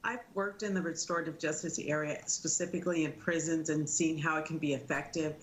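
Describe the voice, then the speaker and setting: A woman speaking as a legal commentator, giving measured analysis in a news interview. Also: deep voice, fairly even intonation, rough recording. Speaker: legal commentator, defense attorney.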